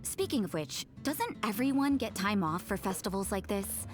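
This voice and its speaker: A woman voicing an aloof, sardonic church sister in a video game dub, cool and flat. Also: medium pitch, normal speed, music in the background, natural voice.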